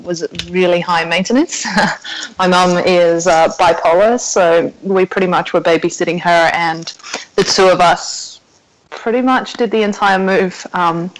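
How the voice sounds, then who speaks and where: A woman's voice: on the low side, low-quality recording. A young woman with an Australian accent, originally from South Africa, chatting casually as a podcast guest and laughing often. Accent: Australian with South African roots. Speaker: personal trainer, podcast guest. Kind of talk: casual interview chat. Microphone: remote call.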